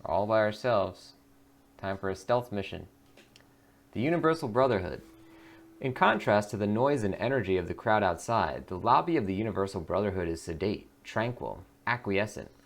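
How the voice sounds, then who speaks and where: A man's voice: lowish voice, lively intonation, moderate pace. A man hosting a gaming video, reading out narration and adding casual commentary.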